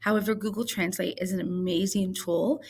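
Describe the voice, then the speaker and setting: A woman teacher from Missouri walking viewers through a software tutorial in a chatty, explaining way with frequent fillers. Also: mid-range voice, fairly even intonation, moderate pace, creaky, husky. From Missouri, United States. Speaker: ELL coordinator and teacher. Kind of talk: screen-recorded tutorial.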